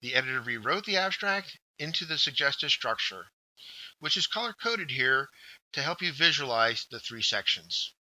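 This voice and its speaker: Bright, crisp-toned male workshop instructor. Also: mid-range voice, very animated, normal speed, no room sound, low-quality recording. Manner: step-by-step, instructive, addresses learners directly. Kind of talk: scripted instructional narration.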